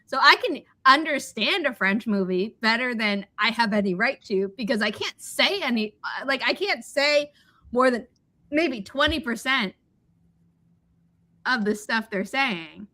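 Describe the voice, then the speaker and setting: A woman's voice: a little high, a little slow. A woman chatting casually and telling personal stories, animatedly acting out bits of dialogue. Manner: animated, acts out quoted dialogue. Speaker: livestream host. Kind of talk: casual chat, personal anecdotes.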